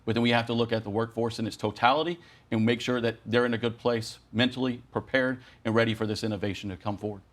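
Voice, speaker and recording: A man's voice: medium pitch, flat delivery, fast. A man speaking as a union leader in a television news interview, making his case earnestly and emphatically in long, slogan-like sentences. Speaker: air traffic controllers' union president.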